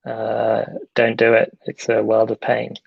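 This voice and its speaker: Halting man, gravelly voice. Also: lowish voice, completely flat delivery, dry recording, lo-fi sound. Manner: hesitant, many fillers and restarts. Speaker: film director. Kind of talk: casual interview answers.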